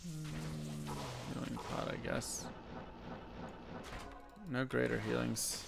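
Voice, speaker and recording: A man with a deep voice casually talking to chat and muttering to himself while playing a game on a live stream. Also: slow, creaky, over music. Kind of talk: casual gameplay commentary.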